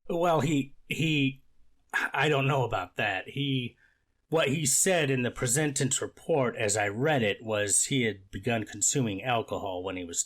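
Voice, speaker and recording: Man playing a deliberate, skeptical judge, mid-pitched. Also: a bit bright. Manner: formal, deliberate, hedging and self-correcting. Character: skeptical, deliberative male judge presiding over hearing. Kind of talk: readers theater of a court transcript.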